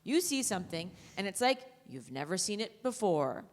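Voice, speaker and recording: Woman acting a haunted private, lively intonation. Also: medium pitch, normal speed, natural voice. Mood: haunted, matter-of-fact. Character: haunted, devout young army private, darkly confessional. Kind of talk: live staged play reading monologue. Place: theatre stage before an audience. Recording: live theatre, long hall reverb.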